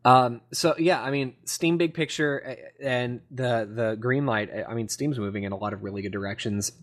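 Guy hosting a gaming podcast, mid pitched voice, rambly but into it, just chatting. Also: moderate pace. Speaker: podcast host. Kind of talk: casual gaming podcast chat.

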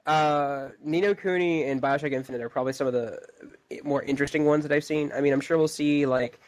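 A man in relaxed informal conversation, co-hosting a podcast. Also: on the high side, a little fast. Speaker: podcast host. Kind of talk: casual chat.

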